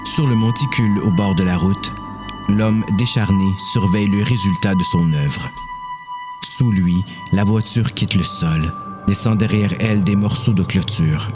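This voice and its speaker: A man's voice: fairly low pitch, unhurried. A man with a Québécois accent giving a dramatic scripted narration of a story. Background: music.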